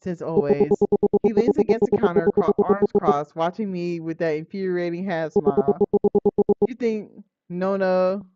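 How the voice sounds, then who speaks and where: A game streamer reading a visual novel aloud in an informal, expressive way, breaking into slangy asides. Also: medium pitch, measured pace. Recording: muffled audio with music behind.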